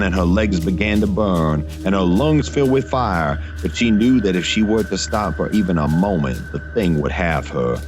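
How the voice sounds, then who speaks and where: Male narrator, very low pitch, gothic horror audio fiction, dramatic deliberate phrasing, soft music underneath.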